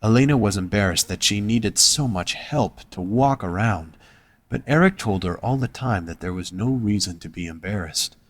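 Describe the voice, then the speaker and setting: A man's voice: lowish voice, lively intonation, moderate pace. A man reading scripted story narration as the narrator of an audio drama.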